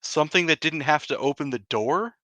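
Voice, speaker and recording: A man's voice: on the high side, brisk, sharp tone, no room sound. A man casually roleplaying and narrating his character's actions as a player in a tabletop game.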